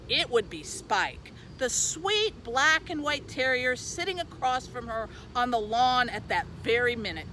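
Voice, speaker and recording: A woman's voice: high voice, bright, forceful tone, a little hiss or hum, rough recording. A woman speaking as a youth librarian, presenting and reading a children's book aloud, recorded on location.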